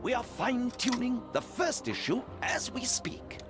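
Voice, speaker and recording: Casting: fussy, idealistic gentleman newspaperman for a period game, high-pitched, wildly swooping intonation, music behind. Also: unhurried, full character voice. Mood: earnest, eager. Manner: fussy, excitable, polite. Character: eager, nervous, idealistic gentleman newspaper publisher. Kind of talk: theatrical video game character acting.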